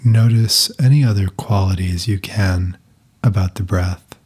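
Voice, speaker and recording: Male meditation guide: lowish, husky and breathy, calm and soft, long pauses between phrases.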